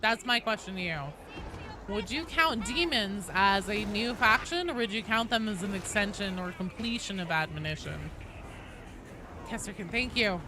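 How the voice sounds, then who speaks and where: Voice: mid-range voice, normal speed, background noise. A game streamer chatting casually and playfully with viewers, informal and prone to swearing. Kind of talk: casual livestream chat with viewers.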